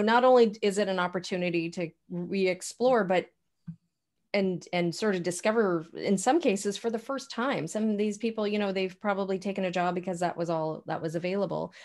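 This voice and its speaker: A woman's voice: medium pitch, a little mellow. A woman co-hosting an online coaching talk, chatting casually and affirming her partner, with frequent "you know" fillers. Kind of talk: casual conversational chat. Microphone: video call.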